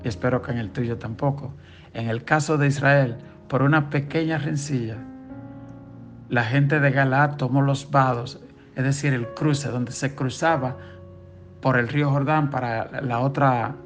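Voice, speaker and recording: Dominican pastor preaching over soft guitar. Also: male voice, medium pitch, fairly even intonation, a little slow. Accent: Dominican, southern. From southern Dominican Republic. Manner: reads scripture aloud and explains it in a conversational preaching tone. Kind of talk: daily devotional sermon.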